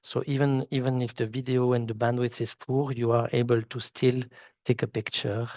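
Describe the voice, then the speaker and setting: Creaky-voiced man with a Swiss French accent. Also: mid-range voice, little pitch movement, mellow, dry recording, highs cut off. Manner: halting, repeats words while clicking through. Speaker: solution architect giving a software demo. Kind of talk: live product demo walkthrough.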